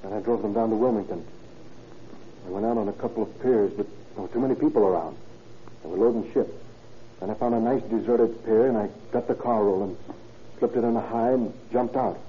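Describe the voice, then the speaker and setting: A man's voice: fairly low pitch, fairly quick, lightly acted. A young male suspect, played by an actor in a vintage radio crime drama. He is defensive and earnest, talking in slangy, pleading bursts. The recording is muffled, with some background noise. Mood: matter-of-fact confession.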